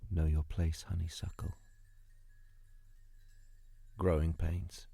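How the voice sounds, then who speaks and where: Male poetry reader, low pitch, unhurried and dramatic delivery, deliberate short phrases, close mic. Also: level tone, gravelly, mellow, husky, no room sound. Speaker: guest poet reading a poem. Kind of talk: literary poetry recitation.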